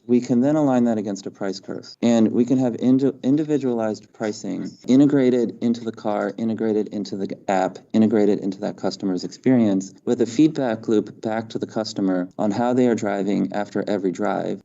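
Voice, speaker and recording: A man speaking as a company executive, answering questions on an earnings call in a measured, explanatory manner. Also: on the low side, creaky, mellow. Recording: muffled conference-call audio.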